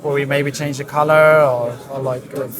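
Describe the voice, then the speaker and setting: A man explaining his work as a design director in an interview, in a halting, thoughtful way. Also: medium pitch, flat delivery. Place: busy hi-fi trade show hall. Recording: crowd chatter around the voice. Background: crowd chatter.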